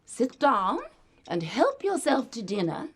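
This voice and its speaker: Slow, imperious upper-class English lady. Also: a little high, very animated, breathy edge, full character voice. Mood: stiffly formal. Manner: stiff, formal, imperious. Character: prim, haughty upper-class maiden lady in wartime. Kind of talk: radio play acting.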